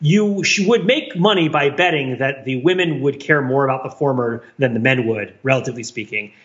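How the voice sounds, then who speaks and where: A man's voice: medium pitch, brisk, highs cut off. A man co-hosting a podcast in casual discussion, talking in a rambling, wry way and often questioning his own points. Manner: rambling, self-questioning, wryly animated argument. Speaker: podcast co-host.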